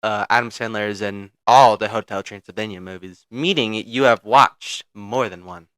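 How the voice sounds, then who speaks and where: A young man co-hosting a casual podcast chat, excitable and full of "like". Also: on the low side, moderate pace, clear, resonant tone, dry recording, low-quality recording. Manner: filler-heavy, excitable. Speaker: podcast co-host.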